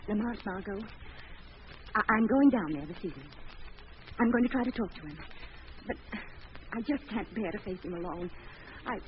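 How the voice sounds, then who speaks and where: A woman playing an earnest, imploring sweetheart with halting speech in a 1940s radio melodrama. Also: medium pitch, lively intonation, natural voice. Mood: troubled. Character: earnest, pleading young woman devoted to a lost love. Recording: dull, hissy old radio recording.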